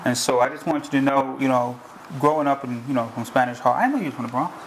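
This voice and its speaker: A man with a New York accent hosts a relaxed interview, rambling with fillers and restarts. His voice sits in a middle register. The room rings a little, with a faint hiss under it. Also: mid-range voice, fast, reverberant, low-quality recording. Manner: loose, chatty, many fillers and restarts. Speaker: host. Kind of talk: casual interview.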